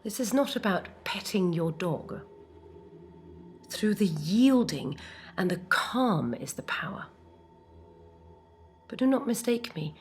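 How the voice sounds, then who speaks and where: A woman playing a calm, commanding heroine who is tender yet menacing, in a measured, solemn dramatic monologue. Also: mid-range voice, faint music behind. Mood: calm menace.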